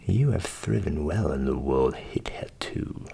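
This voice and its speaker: Man doing low, husky, wily tradesman. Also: lively intonation, unhurried, mellow, full character voice. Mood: coaxing. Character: blithe, wily scissor grinder, storybook tradesman.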